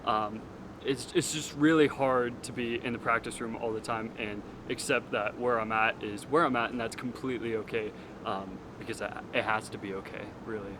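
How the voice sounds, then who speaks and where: A twenty-one-year-old man speaks in an earnest, self-searching way about his music studies. His voice is medium in pitch, with clear street noise around him outdoors. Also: fairly even intonation, moderate pace. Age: 20s. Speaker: music student. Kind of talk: casual interview answer.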